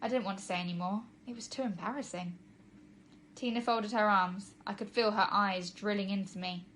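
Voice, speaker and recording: A woman's voice: mid-range voice, fairly even intonation, moderate pace, a bit bright, slight background noise. A woman reading a children's storybook aloud as a narrator, like a teacher to pupils.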